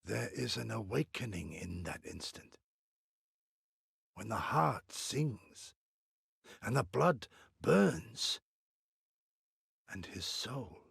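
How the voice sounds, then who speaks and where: An actor playing an earnest, proud samurai in a video game, speaking formal archaic lines with dramatic pauses. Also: male voice, on the low side, creaky, fairly bright tone, breathy edge, no echo, clean studio sound, exaggerated voice. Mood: solemn, stirred. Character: earnest, theatrical samurai warrior, proud and inquisitive.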